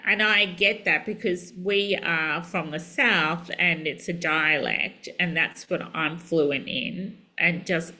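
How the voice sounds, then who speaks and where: A woman whose first language is Italian, a podcast guest chatting casually, trailing off and restarting with soft laughs. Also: low voice. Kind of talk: casual conversation.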